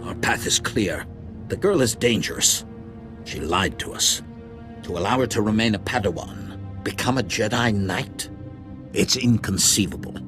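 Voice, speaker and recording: Stern, lowish-voiced distrustful Jedi master man acting. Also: measured pace, over music, lightly acted. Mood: accusing. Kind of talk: dramatic video game acting.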